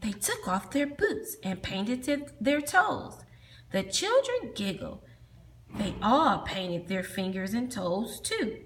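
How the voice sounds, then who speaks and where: A woman's voice: mid-range voice, very animated, slow, a little hiss or hum. A woman teacher reading a children's storybook aloud as its narrator.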